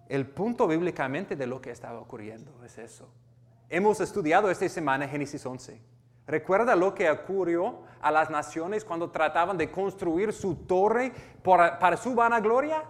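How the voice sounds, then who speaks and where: Pastor with an American accent, slightly higher voice than most men, earnest, stumbling through some words, with a long hall echo. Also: male voice. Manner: earnest, with restarts and self-corrections. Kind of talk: sermon, reading and explaining scripture.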